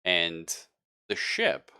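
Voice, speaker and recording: Deep-voiced male game master, bright edge. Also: huge pitch swings, extremely slow, gravelly, clear, resonant tone. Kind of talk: improvised tabletop game narration.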